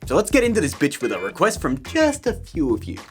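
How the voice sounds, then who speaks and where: A man hosting a casual software tutorial in a cheeky, self-mocking way, with jokey asides. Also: fairly high pitch, wildly swooping pitch, fairly quick. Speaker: host of a video-editing tutorial show. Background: music.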